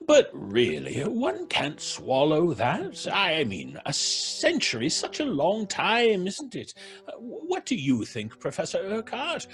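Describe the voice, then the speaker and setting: An actor playing a jovial, scoffing gentleman at a seance in a radio drama, facetious and drawling. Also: on the high side. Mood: flustered, incredulous. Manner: drawling, facetious, stammering politely. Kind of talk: old-fashioned radio drama acting.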